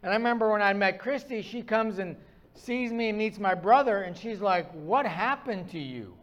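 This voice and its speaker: High-voiced man preaching with self-mocking humor. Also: lively intonation, normal speed, fairly bright tone. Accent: northern US. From Upper Midwest of the US. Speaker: pastor. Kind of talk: sermon.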